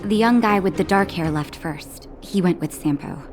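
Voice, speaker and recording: An actress voicing a calm, caring woman doctor in a video game, speaking in a gentle, reassuring way. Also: mid-range voice, normal speed, close to an everyday voice. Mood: wry, composed. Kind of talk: scripted game dialogue. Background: music.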